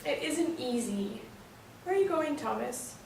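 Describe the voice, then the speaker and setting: A woman's voice: sits high, expressive, unhurried, vocal fry, a little mellow, exaggerated voice. A woman playing a breezy, well-meaning but easily put-out visitor in a live stage play reading. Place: echoey indoor stage or hall. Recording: echoey room, distant mic, faint noise.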